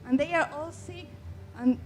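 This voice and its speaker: A woman's voice: high voice, normal speed, a little mellow, soft background music. A woman from Chile with a Chilean Spanish accent, Spanish being her first language, speaking earnestly from the audience at a public discussion, apologetic and searching for words. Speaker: audience member asking a question. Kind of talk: public Q&A remark.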